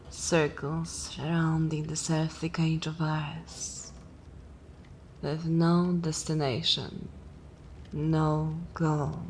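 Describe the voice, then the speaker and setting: Woman doing an ancient vampire mistress for asmr, low pitched, super slow and theatrical, looking down on mortals. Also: a little hiss or hum, heavily acted. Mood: world-weary. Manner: languid, theatrical, disdainful, lapsing into whispers. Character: ancient, haughty, bored vampire mistress of a castle. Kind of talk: ASMR dark fantasy roleplay.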